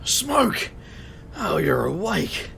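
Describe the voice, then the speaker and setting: An actor playing a scatterbrained, playful, good-natured boss in a fantasy audio drama, stammering and jokey, with a gravelly edge. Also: medium pitch, very animated, drawn-out words, a bit bright, full character voice. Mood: relieved, teasing. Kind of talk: audio drama character acting. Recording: faint noise.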